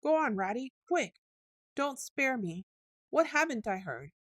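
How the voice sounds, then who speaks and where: A woman voicing a conceited but humbled male toad who talks softly and meekly. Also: sits high, big pitch swings, measured pace, no room sound, clean studio sound, pitched above the performer's own voice, full character voice. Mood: anxious. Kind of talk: storybook reading.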